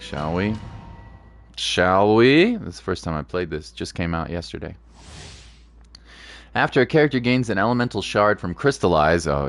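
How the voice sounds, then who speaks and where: Man, low voice, casual gaming stream host, informal rambling commentary, soft music behind. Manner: informal, rambling, thinking aloud, occasional playful exclamations. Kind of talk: casual livestream gameplay commentary, chatting with viewers. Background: game music.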